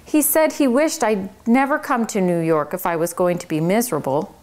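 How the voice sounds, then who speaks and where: A gentle, homesick male cricket who speaks softly and wistfully, voiced by a woman reading a children's book aloud. His tone is mild and unhurried. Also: mid-range voice, natural voice.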